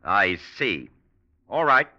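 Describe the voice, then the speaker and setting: Forceful, grave radio patriarch. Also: male voice, on the low side, very animated, takes it slowly, exaggerated voice. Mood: grave, vengeful. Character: genteel older father-in-law, bent on avenging his son. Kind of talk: old-time radio drama acting. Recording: dull old radio recording.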